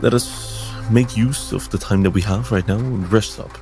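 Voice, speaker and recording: A man plays a solemn, courteous fantasy priest with a very deep, gravelly voice. He is measured, formal and caring in this tabletop roleplay. Faint music sits underneath. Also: a little mellow, natural voice. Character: solemn, gentle, courteous male healer-priest in a fantasy game.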